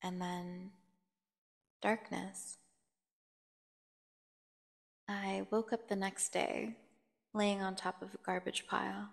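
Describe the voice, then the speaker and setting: A woman playing a captive female vampire in an ASMR audio drama, seductive, taunting and menacing, telling her tale with teasing pauses and dropping at times to a near whisper. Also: mid-range voice, little pitch movement, creaky. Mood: wistful, brooding. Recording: long hall-like reverb.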